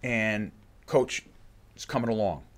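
An older man, a fight coach, talking in a podcast studio and often restarting his sentences. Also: on the low side. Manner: halting, many restarts, acts out fighters' thoughts. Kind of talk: casual interview talk. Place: studio room with bookshelves. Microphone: studio mic on a boom arm.

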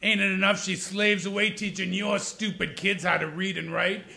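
A man playing an angry husband picking a fight in a tavern, in an amateur radio play, belligerent and loud. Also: high voice, flat delivery, normal speed, creaky, full character voice. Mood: furious. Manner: belligerent, shouting. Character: angry working-class husband, brawler.

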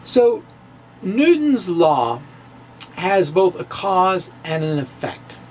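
A middle-aged man giving a dramatic, emphatic physics lecture to camera in a halting, theatrical delivery. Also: high voice, a little hiss or hum. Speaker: science video blogger. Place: indoor room with bookshelves. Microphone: handheld-style microphone on a stand, close in front.

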